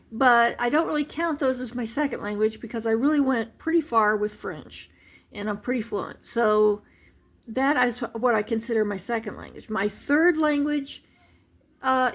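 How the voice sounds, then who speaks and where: A woman's voice: fairly high pitch, creaky. A woman teaching a casual language lesson, chatty and rambling, with many fillers and restarts. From United States. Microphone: handheld device camera.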